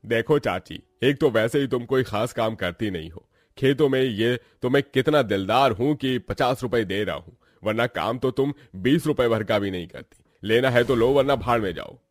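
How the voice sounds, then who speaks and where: A man's voice: medium pitch, normal speed, hardly any pauses, no room sound, close to an everyday voice. A man voicing a greedy, callous landowner in a cartoon story, scolding and haughty. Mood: contemptuous, greedy. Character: greedy, callous rich landowner bossing his farm labourers. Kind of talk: Hindi cartoon story voice acting.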